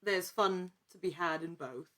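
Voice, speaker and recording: A woman's voice: fairly low pitch, a little slow, a bit bright. A woman speaking as a guest in a casual interview, in a wordy, rambling way that often interrupts itself. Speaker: podcast guest, actor and producer.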